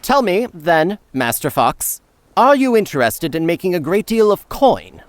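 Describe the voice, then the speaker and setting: An actor playing a haughty, fussy chief steward with a pompous, formal, condescending way of talking in an audiobook. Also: male voice, high-pitched, big pitch swings, measured pace, no echo. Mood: brisk, condescending. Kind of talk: audiobook character acting.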